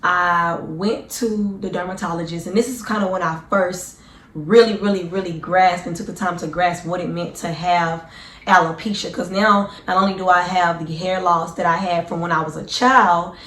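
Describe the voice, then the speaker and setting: A woman in her twenties with a hoarse, deep, raspy voice, chatting earnestly and casually to her viewers as a vlogger. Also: fairly even intonation, normal speed, fairly bright tone, echoey room. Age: 20s. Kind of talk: casual personal storytelling to camera.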